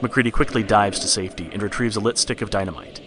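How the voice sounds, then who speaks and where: A man's voice: fairly low pitch, steady tone, fast talker, heavy background noise. A man narrating a scripted horror story in the style of a read-along storybook record.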